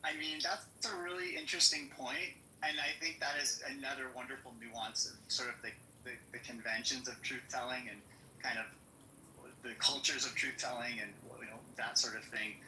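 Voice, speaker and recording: A man in a casual group discussion who talks thoughtfully, in halting phrases with many restarts and fillers. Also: medium pitch, fairly even intonation, bright, forceful tone, slight background noise. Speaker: psychology student, discussion participant. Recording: echoey room.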